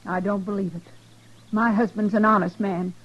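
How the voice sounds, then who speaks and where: An actress playing an anguished American frontier wife and mother in a 1950s radio western, with a husky voice. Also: mid-range voice, fairly even intonation, normal speed, lightly acted. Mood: wary, fearful. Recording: muffled old radio recording.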